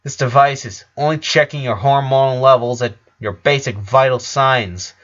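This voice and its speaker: A man voicing a precocious little girl scientist with a calm, wordy, clinical delivery, on a dull recording. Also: mid-range voice, moderate pace, close to an everyday voice.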